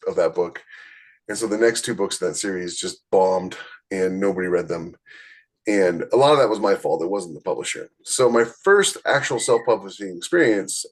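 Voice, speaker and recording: A man with a fairly low-pitched voice talks as a podcast host. He explains things in a conversational, unscripted way and often turns straight to the listener. The delivery is that of casual talk drawn from his own experience. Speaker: podcast host, self-published author.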